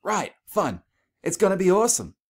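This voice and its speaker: A man's voice: high-pitched, very animated, normal speed, gravelly, pitched above the performer's own voice, heavily acted. A man voicing a brash, guarded, tomboyish female pegasus in a storybook reading, clipped and slangy. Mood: forced swagger.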